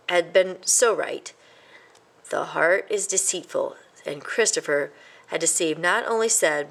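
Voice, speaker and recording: A woman narrating a children's storybook in an expressive reading style. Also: fairly low pitch, creaky. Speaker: storybook reader.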